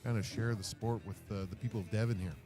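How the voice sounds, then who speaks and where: A low-pitched man gives calm, explanatory play-by-play at an outdoor strongman event. Loud guitar music plays behind him throughout. His delivery is steady and informative. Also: fast talker. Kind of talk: live play-by-play commentary.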